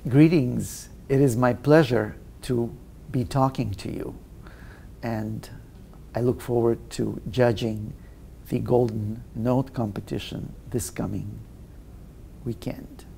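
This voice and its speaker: Male guest, slow and measured, gravelly and dark, hesitant. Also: medium pitch, steady tone, slight background noise. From Russia. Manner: slow, thoughtful, many hesitations. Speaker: guest pianist. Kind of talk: interview answers.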